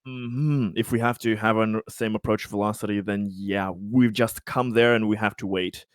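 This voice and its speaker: A man's voice: fairly low pitch, steady tone, normal speed, no room sound, clean studio sound. A man playing a tabletop game, thinking aloud in a casual, tentative way.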